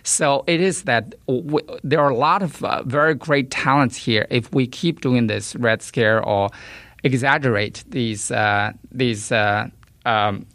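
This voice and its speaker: A man is a guest on a radio interview, speaking earnestly but hesitantly. His voice has a gravelly fry. The sound is dry. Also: medium pitch, fairly bright tone, no room sound. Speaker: guest, journalist and author.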